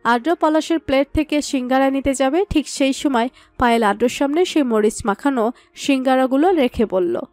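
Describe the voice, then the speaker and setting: High-voiced woman narrating a story. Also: normal speed, a little mellow, dry recording, faint music behind.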